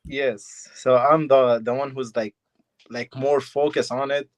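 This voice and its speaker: A young man from Syria, answering interview questions in short, halting phrases of simple English. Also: mid-range voice, steady tone. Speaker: fighter, guest.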